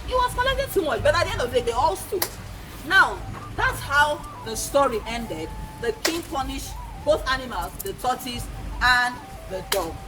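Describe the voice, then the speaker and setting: A woman's voice: high-pitched, very animated, normal speed, over music, rough recording. A woman with a Nigerian accent who tells folktales to children as an educator, repeating points and asking questions in a teacherly way.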